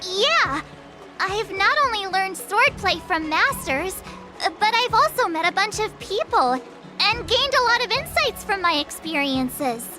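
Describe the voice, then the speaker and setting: Bubbly teen girl student, very high voice, swooping intonation, eager, anime game heroine. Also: very animated, unhurried, over loud music, heavily acted. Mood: cheerful. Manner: perky, self-encouraging, exclaiming. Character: bubbly, eager teenage girl swordplay student and aspiring hero. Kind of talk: anime-style video game voice acting.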